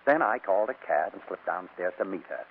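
An actor playing a coaxing, easily flustered husband in a 1940s radio comedy, on a tinny old recording. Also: male voice, sits low, expressive, fairly quick, slight background noise. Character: wheedling husband caught in a fib by his wife.

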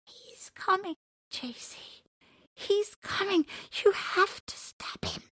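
A woman voicing a small frightened bird, breathless and gasping in high whispers. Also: sing-song, measured pace, creaky, a bit bright, breathy edge, dry recording, clean studio sound, full character voice. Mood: panicked.

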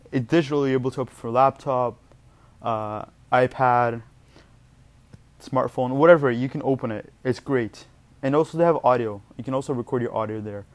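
A young man whose first language is Portuguese, giving study tips in a casual, rambling vlog and often correcting himself mid-word. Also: mid-range voice. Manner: rambling, self-correcting, chatty. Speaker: student vlogger.

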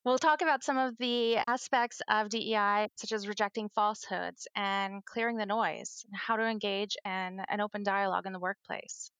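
A woman hosting a podcast speaks in a gravelly voice with a dry, close sound. She reads a prepared, earnest introduction to her guest. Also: medium pitch, fairly even intonation, normal speed, hardly any pauses, fairly bright tone, no room sound. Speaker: podcast host. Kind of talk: podcast interview.

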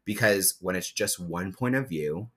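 A man in his thirties from New Jersey, co-hosting a podcast and telling stories in a relaxed casual way. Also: deep voice, measured pace, husky. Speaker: podcast co-host. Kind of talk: casual chat.

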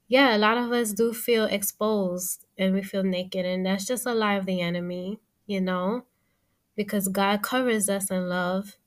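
A woman hosting a faith-based podcast, upbeat and affirming in casual chat. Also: mid-range voice. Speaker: podcast host.

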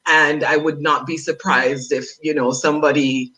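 A woman with a crisp, bright voice speaks as a guest in a relaxed interview. She talks in a lively way and laughs easily between phrases, like an actress chatting about her work. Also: low-pitched, normal speed, low-quality recording. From Dominica by heritage, raised elsewhere. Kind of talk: casual interview chat.

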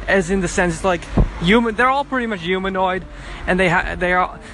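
A man's voice: high-pitched, steady tone, fairly quick, a bit bright, a little hiss or hum. A young man recording a casual audio diary on his phone while he waits outdoors at a bus stop, chatty and rambling with lots of restarts. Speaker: podcast host.

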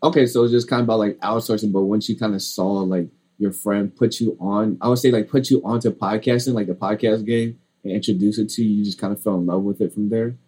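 Rambling man with a dark husky voice. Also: on the low side, fairly even intonation, fast, hardly any pauses. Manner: rambling, heavy use of "like" and "you know". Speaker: podcast host. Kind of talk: casual interview talk.

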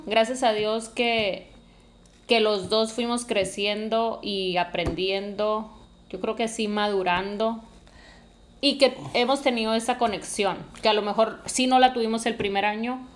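Teasing woman with a higher voice. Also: slight background noise. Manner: agreeable, teasing, laughs easily. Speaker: podcast co-host. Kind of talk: casual chat with her husband.

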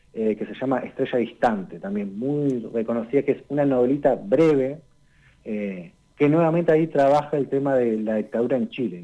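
Mellow-voiced man explaining unhurriedly. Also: mid-range voice, low-quality recording. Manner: unhurried and explanatory, tags lines with "¿no?" and "eh". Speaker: literature columnist on a radio show. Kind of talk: conversational radio column.

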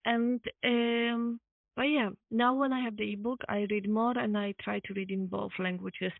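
A woman's voice: a little high, steady tone, moderate pace, creaky, dry recording. Polish woman on a thin phone-like line. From Poland. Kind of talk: casual chat. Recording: thin phone-like call audio.

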